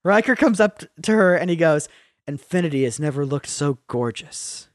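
A young man co-hosting a podcast, chatting casually, rambling, swearing freely and getting animated in bursts. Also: fairly high pitch, moderate pace, no echo, clean studio sound. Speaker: podcast co-host. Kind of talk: casual chat.

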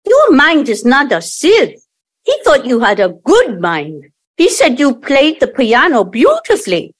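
A woman in an audio play acting an earnest, effusive younger daughter who smooths things over but bristles easily. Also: sits high, wildly swooping pitch, a little slow, exaggerated voice. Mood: wistful.

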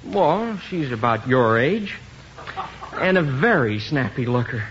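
Breezy, exasperated young husband, 1950s radio comedy, animated delivery, noisy vintage sound. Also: male voice, mid-range voice, takes it slowly, lo-fi sound. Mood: breezy, evasive. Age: young adult.

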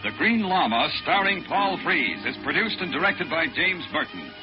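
A man's voice: on the high side, sing-song, normal speed. A man announcing in a formal, polished 1940s radio style. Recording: muffled vintage radio recording. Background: music.

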